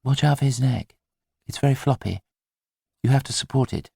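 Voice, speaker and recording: A man voicing a weary, gently wry new mother in an audiobook, soft-edged and hesitant with dry humour. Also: medium pitch, normal speed, vocal fry, husky, no echo, voice pushed up. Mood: gentle, unsure. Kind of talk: audiobook character dialogue.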